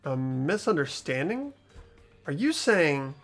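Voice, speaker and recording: A man voicing an earnest, anxious high school student in a fan-dubbed game, hesitant and questioning. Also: big pitch swings, slow pace, faint music behind, full character voice. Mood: startled, uneasy. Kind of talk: fan voice acting of a visual novel game.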